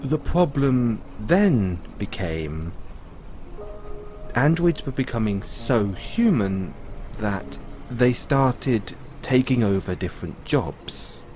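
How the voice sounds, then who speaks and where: A man playing a patient owner talking to his android in an audio roleplay, explaining in a musing way with pauses. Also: mid-range voice, sing-song, darkish tone, soft background music. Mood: patiently explaining. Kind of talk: audio roleplay, conversational storytelling.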